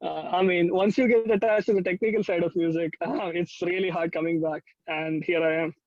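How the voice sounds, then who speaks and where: A man's voice: high voice, steady tone, brisk, darkish tone, dry recording, dull audio. A young man with an Indian accent chatting casually as a musician guest, rambling and enthusiastic as he reminisces. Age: young adult.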